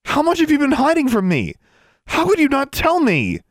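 A man's voice: mid-range voice, very animated, a little fast, dry recording, studio quality, voice pushed up. A man voicing a hurt, angry, headstrong girl in a story, insistent and emphatic. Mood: accusing, upset.

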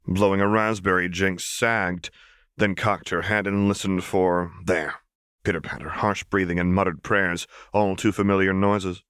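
A man reading a story as an audiobook narrator, expressive and wry in his storytelling. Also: low-pitched, measured pace, smooth, dry recording, studio quality. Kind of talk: expressive scripted fiction narration.

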